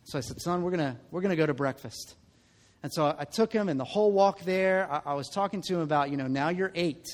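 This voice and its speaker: High-voiced man in his thirties preaching. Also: quick pace, big-room sound. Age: 30s. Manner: conversational preaching, repeats words when starting phrases. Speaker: pastor. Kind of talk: sermon.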